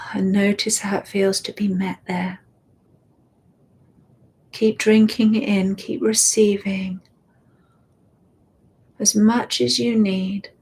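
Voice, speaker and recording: A woman guiding a meditation, speaking softly and soothingly and often dropping into a whisper. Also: medium pitch, flat delivery, slightly rough. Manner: slow, soft and soothing, drifting into whispers. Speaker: meditation guide. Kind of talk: guided meditation.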